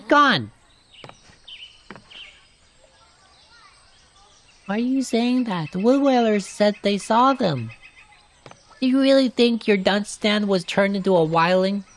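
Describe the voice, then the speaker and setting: A woman's voice: medium pitch, close to an everyday voice. A woman voicing a worried young girl with an earnest, pleading manner in narrated fantasy game dialogue. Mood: upset, insistent.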